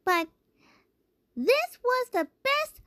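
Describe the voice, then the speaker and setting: A woman voicing a sweet, excitable young female cartoon character, exclaiming her lines haltingly. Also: extremely high pitch, dry recording, studio quality, exaggerated voice. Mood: delighted. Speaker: amateur voice actor. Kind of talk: performing scripted cartoon lines.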